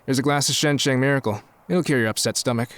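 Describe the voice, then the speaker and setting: Man acting a suave, smitten rich gentleman. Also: mid-range voice, fast, lightly acted. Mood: smitten, smooth. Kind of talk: audio drama acting.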